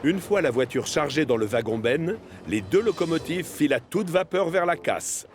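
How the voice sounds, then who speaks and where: An actor as the male storyteller of a children's cartoon, narrating in an expressive storybook style. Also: fairly high pitch, moderate pace, music in the background. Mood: storytelling.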